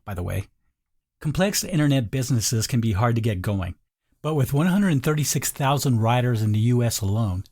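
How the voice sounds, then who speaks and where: A man's voice: mid-range voice, darkish tone. A man narrating a scripted sales video in a conversational, persuasive manner. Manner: conversational, persuasive, rhetorical questions.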